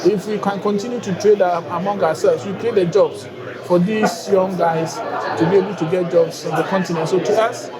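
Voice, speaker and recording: A Ghanaian man with a fairly high voice speaks in a measured way, pausing often between thoughts. Loud chatter fills the trade conference floor behind him. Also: steady tone. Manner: measured, with frequent hesitations. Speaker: bank guarantees unit head. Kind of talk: conference interview.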